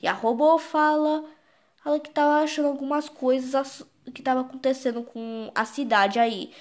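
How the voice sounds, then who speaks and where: A Brazilian woman with a fairly high voice talks casually to viewers as a video creator. The delivery is unscripted and rambling, often circling back and repeating phrases while searching for the next word. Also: fairly even intonation, normal speed. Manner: rambling, repetitive, searching for words. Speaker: video creator explaining own animated horror episode. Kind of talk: casual unscripted recap commentary.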